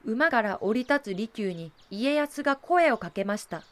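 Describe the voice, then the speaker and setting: A woman's voice: a little high, lively intonation, moderate pace, fairly bright tone. A woman giving polite, explanatory scripted narration for a historical audio drama.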